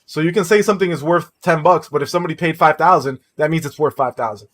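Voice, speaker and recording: A man co-hosting a casual gaming podcast, telling stories in an animated way and reading out viewer messages. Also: on the high side, quick pace. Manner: animated storytelling, reads viewer messages aloud. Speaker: podcast co-host.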